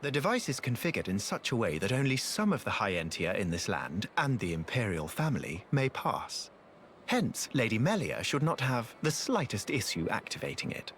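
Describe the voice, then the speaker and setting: An actor playing an unctuous, scheming soldier in a video game, speaking in a flowery, obsequious, archaic formal way. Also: male voice, medium pitch, sing-song, moderate pace, a bit bright, a little hiss or hum. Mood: eager, servile. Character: fawning male soldier, unctuous and scheming courtier.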